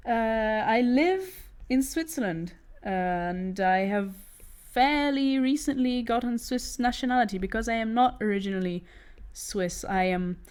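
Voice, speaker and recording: A woman's voice: a little high, lively intonation, a little slow, few pauses, darkish tone, clean tone. A woman with a British accent, born in Italy, chatting casually with her stream while she draws, giggling often and restarting her sentences. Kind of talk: casual chat while drawing.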